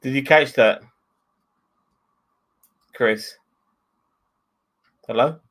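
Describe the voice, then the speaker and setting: A man from hosting a podcast in casual chat, relaxed and halting. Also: medium pitch. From London. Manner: relaxed, halting, many restarts. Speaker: podcast host.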